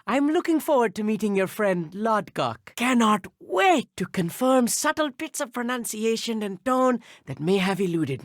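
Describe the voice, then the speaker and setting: An actor playing an earnest, bookish teenage schoolboy wizard in a video game, polite and a little nervous, with precise, slightly formal speech. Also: male voice, high-pitched, dry recording, studio quality. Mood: eager. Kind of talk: scripted video game dialogue.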